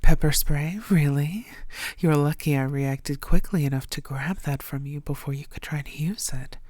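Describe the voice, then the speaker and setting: A woman playing a possessive, flirtatious, menacing vampire in an intimate roleplay audio, teasing in breathy half-whispers with slow, deliberate delivery. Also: deep voice, warm tone, no room sound, studio quality. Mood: threatening.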